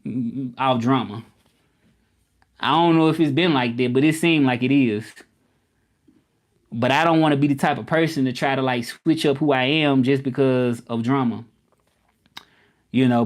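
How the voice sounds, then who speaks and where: A man hosting a casual live stream, chatting loosely with viewers and often repeating himself. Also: mid-range voice. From Memphis, United States. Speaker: livestream host.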